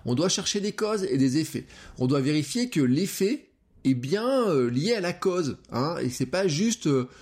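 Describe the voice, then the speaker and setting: Lively, hesitant podcast host thinking aloud. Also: male voice, on the high side, unhurried. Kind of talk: solo podcast monologue. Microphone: close podcast microphone.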